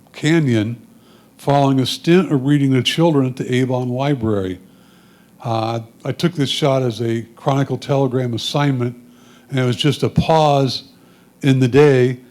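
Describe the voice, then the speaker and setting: A man's voice: mid-range voice, darkish tone. An American man gives matter-of-fact, semi-scripted commentary on his photographs, explaining them as he goes. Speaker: club photographer presenting own photos.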